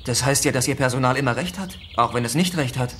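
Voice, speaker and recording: An actor playing a dogged police sergeant in a German radio drama, probing, blunt and sarcastic. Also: male voice, mid-range voice, fast talker, a bit bright, a little hiss or hum, lightly acted.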